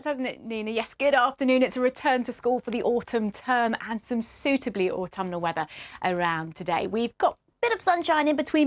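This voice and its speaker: A woman presenting the afternoon weather forecast in a conversational broadcast style. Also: a little high.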